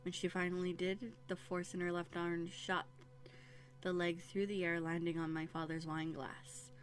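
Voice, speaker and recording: A woman playing a young runaway princess in a roleplay audio drama, bookish and quietly bitter, talking earnestly and hesitantly into a close microphone. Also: on the low side, flat delivery, normal speed, close to an everyday voice. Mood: amused, teasing. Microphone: close USB condenser microphone.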